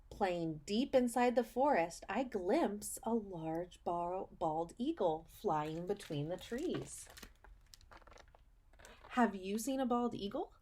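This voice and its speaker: A woman teacher reading a story aloud for children, explaining as she goes and pausing to put questions to the listener, with emphasis on key phrases. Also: mid-range voice, dark tone, slight background noise. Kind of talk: read-aloud lesson for children, asking questions.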